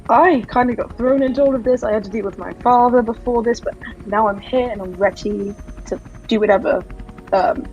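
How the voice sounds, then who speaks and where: A woman's voice: a little high, fairly quick, a little mellow, highs cut off, lightly acted. Woman as an eager, chatty crime-family rookie. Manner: chatty, earnest, matter-of-fact.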